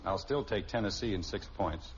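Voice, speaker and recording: A man's voice: low-pitched, normal speed, lightly acted. An actor playing a wry, wisecracking husband and father in an old-time radio family sitcom, with a dry, sardonic delivery. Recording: muffled, noisy old radio recording.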